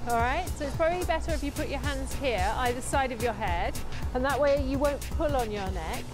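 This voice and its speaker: A middle-aged woman with a fairly high voice coaches exercise technique in a gym. She gives clear step-by-step instructions and often checks that she is understood, with music playing behind her. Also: brisk. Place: indoor gym.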